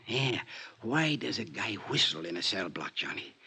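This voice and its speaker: A man's voice: on the low side, big pitch swings, normal speed, bright, forceful tone, full character voice. An actor playing an ailing, short-winded old male convict, eager and pleading, talking in conspiratorial street slang in a 1950s radio drama. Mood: sly, eager. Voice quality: raspy, breathy, gasping. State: weak, coughing, short of breath.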